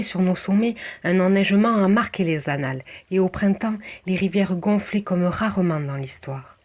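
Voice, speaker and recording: A person from southwest France reciting a poem in measured, declamatory phrases with deliberate pauses. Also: mid-range voice, a little mellow. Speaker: poem reader. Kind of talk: recited spoken-word poem.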